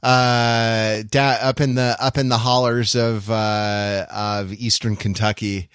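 American man in halting, dry close podcast chat. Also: fairly low pitch, flat delivery, fairly bright tone, clean tone, no room sound. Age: middle-aged. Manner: halting, enthusiastic, digressive. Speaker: podcast co-host.

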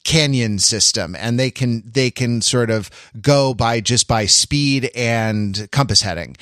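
Mid-pitched man chatting, in dry close sound. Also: fairly bright tone, no echo. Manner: halting, conversational, trailing off. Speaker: podcast co-host. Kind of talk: casual chat, explaining.

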